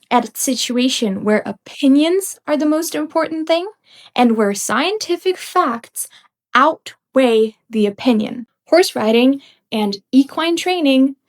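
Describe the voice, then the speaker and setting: Emphatic young woman ranting, slightly congested. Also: high-pitched. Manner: emphatic and blunt, with occasional laughs. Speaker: podcast host. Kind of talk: unscripted ranting commentary.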